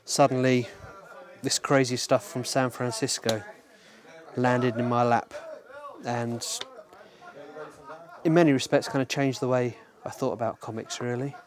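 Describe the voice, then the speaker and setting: A man's voice: mid-range voice, fairly even intonation, unhurried, pauses often. A man talking reflectively in an interview about his own creative work as a graphic novelist. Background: faint music.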